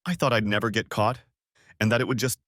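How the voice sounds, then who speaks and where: A man voicing a guilty, fragile wife who pleads tearfully and haltingly in a story. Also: medium pitch, sing-song, a bit bright, dry recording, clean studio sound. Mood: desperate remorse. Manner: pleading, halting, sobbing delivery.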